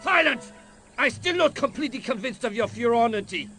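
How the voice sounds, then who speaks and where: High-pitched, haughty ninja cultist. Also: male voice, lively intonation, brisk, pushed and bright, soft background music, full character voice. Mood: stern, grudging. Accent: mock Japanese. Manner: clipped broken English, pompous. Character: suspicious, haughty male ninja cult quizmaster. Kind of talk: video game cutscene acting.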